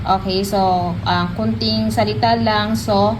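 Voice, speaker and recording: A woman with a Filipino accent giving a casual, chatty product demo and sales pitch, often addressing her viewers directly. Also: steady tone, takes it slowly, noisy background. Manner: chatty, repeats filler tags and addresses viewers. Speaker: product reviewer and live seller.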